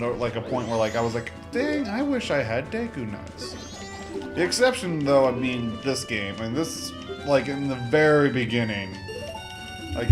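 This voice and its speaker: A young man giving casual, rambling gameplay commentary with informal, mock-exasperated asides. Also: mid-range voice. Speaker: gamer, Let's Play host. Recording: game music behind the voice. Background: video game music.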